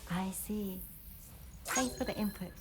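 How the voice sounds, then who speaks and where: A woman's voice: medium pitch, a little slow, natural voice. An actress playing a friendly, caring female companion in a naturalistic video game scene. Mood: friendly, earnest. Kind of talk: naturalistic game cutscene acting. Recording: music behind.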